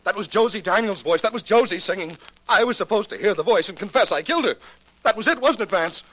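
An agitated, defensive husband from a 1940s radio mystery. His voice is very high and bright, pushed hard with insistent, overwrought emphasis. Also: animated, fast, poor audio. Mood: angry, accusing. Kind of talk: 1940s radio detective drama acting.

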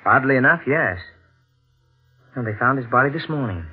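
An actor playing a suspicious, coolly controlled husband with clipped, probing speech in a 1940s radio drama. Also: male voice, mid-range voice, lively intonation, moderate pace, slightly rough. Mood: cool, guarded. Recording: narrow-band vintage radio recording.

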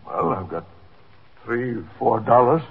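A man's voice: mid-range voice, slow, vocal fry, slightly rough, heavily acted. An actor playing a weary, stubborn old man in a 1950s radio drama, plain-spoken and evasive. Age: older. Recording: noisy, tinny 1950s radio recording.

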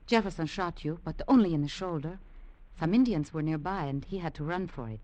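An actress playing a soft-spoken young servant woman. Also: low voice, brisk, dry recording, lightly acted. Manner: soft, earnest, quietly pleading. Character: young woman, devoted former servant, gentle and earnest. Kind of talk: 1950s radio drama acting.

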